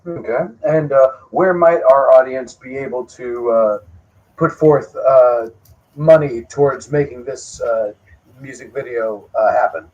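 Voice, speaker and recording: A man with a mid-pitched voice interviews casually as a co-host. The sound is dull and lo-fi, like a modest livestream connection. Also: unhurried, darkish tone.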